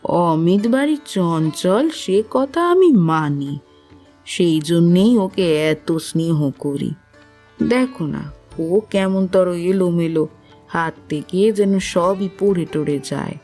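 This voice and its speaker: A woman's voice: fairly low pitch, lively intonation, slow, dark tone. A woman playing an affectionate, concerned older motherly figure in a literary audio drama, speaking fondly and unhurriedly. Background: music.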